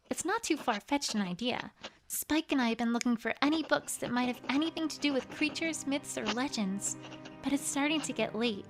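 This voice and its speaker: A woman's voice: fairly high pitch, low-quality recording. A woman voicing an anxious young female unicorn scholar pony, reading her diary in an earnest, fretful first-person way. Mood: weary, worried. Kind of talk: journal read aloud as a fan audiobook. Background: music.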